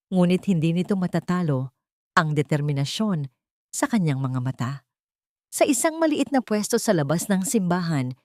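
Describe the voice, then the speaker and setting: A woman's voice: on the low side, a little mellow, no room sound, studio quality. A woman reading scripted, dramatic narration of a love story as a storyteller.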